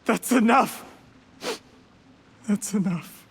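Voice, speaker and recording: A man's voice: high-pitched, extremely slow, gravelly, a little hiss or hum, full character voice. An actor playing a guilty, defensive and distraught young man in a game. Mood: anguished outburst. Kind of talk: scripted game dialogue, English dub.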